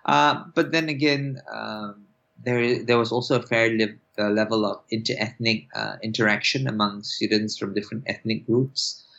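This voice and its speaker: A man in his forties from Singapore, an applied linguist answering interview questions in a measured way with many fillers and restarts. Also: lowish voice, steady tone, gravelly, fairly bright tone. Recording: dull lo-fi sound.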